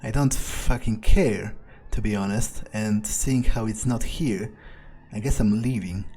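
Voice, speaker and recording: A man's voice: lowish voice, unhurried, warm tone. A man roleplaying an irritable, cynical vampire in a video game, muttering bluntly and thinking aloud. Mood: sour, cynical. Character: irritable, foul-mouthed, cynical male vampire errand-runner.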